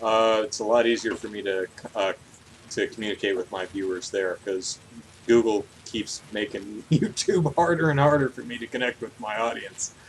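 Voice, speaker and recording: A man co-hosting a casual livestreamed podcast about video game news, talking in an animated, jokey way. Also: on the low side, moderate pace, a little hiss or hum, low-quality recording. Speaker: podcast co-host. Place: hot room at home, on a livestream.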